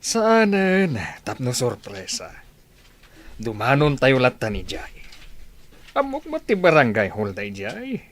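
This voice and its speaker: Deliberate commanding chief. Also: male voice, mid-range voice, wildly swooping pitch. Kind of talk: Ilocano radio drama acting.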